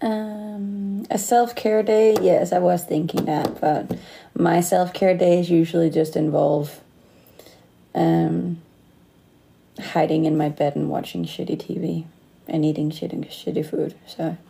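Woman, late 20s, Danish, slight Australian accent, gravelly, mellow, relaxed livestream chat. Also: fairly low pitch, slow. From Denmark. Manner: relaxed, chatty, laughs often. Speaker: livestream host. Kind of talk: casual livestream chat reading comments. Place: indoor room. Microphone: phone close to face.

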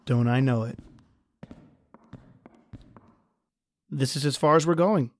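A man's voice: medium pitch, a little fast, close to an everyday voice. A man playing a tense husband who leads his wife underground in a radio drama, curt and snapping.

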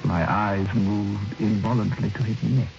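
An actor playing a brooding man who narrates a dark radio drama in grave, literary, measured phrases. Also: fairly low pitch, level tone, vocal fry. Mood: horrified. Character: brooding male first-person narrator of a dark tale. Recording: dull old radio recording with music.

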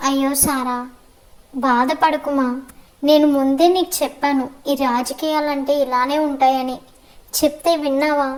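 A woman's voice: sits high, fairly even intonation, a little slow, low-quality recording. A woman playing a worried, chiding mother of a grown daughter in a web series drama, fretful and reproving.

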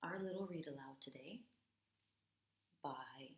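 A woman in her thirties, an English teacher reading aloud to young children, dramatic and deliberate, with hushed stretches. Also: deep voice. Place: small room at home, indoors. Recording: roomy indoor echo.

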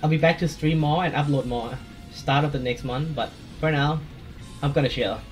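A man with a Thai accent, hosting his gaming channel in a casual voice-over chat, soft-spoken and a little hesitant. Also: a little high, normal speed, faint music behind, rough recording.

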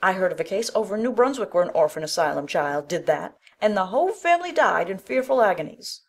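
A woman's voice: medium pitch, very animated, fast. A woman playing an opinionated, gossipy older village busybody in a dramatic audiobook, with a blunt, scolding, folksy delivery. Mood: doubtful warning.

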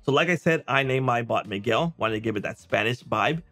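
A man in his thirties hosting a casual software walkthrough, conversational, often checking in with a quick okay or right. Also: medium pitch, lively intonation. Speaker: software tutorial host. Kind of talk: casual screen-share walkthrough. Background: music.

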